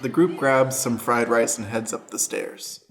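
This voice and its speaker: A man's voice: mid-range voice, a little slow, fairly bright tone, slight background noise. A man acting as announcer and narrator, setting scenes for an improvised radio play.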